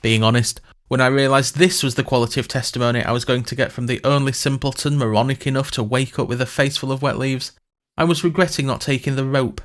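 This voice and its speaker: A man's voice: medium pitch, steady tone, quick pace, fairly bright tone. Wry man narrating a comic fantasy story. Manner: wry, sardonic, wordy. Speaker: podcast host and narrator.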